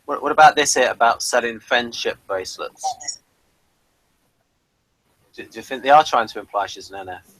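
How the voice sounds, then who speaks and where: A man's voice: medium pitch, normal speed, long pauses, a bit bright, no echo, poor audio. A man co-hosting a casual podcast chat about a TV episode, now and then reading out lines from a page. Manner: chatty, reads out bits from a page. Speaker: podcast co-host.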